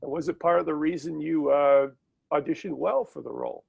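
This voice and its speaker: A man's voice: a little high, steady tone, fairly quick, gravelly, slightly rough, muffled recording. A male interviewer reading prepared questions over a video call, formal and brief in his replies. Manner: formal questions with short affirmations. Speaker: interviewer, film site host.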